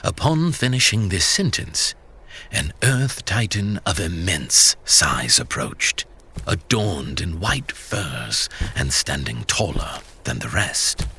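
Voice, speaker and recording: A man's voice: low-pitched, sing-song, takes it slowly, vocal fry, sharp tone, husky, heavily acted. A man playing a grave storyteller who narrates an epic fantasy audio drama. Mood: grave, dramatic.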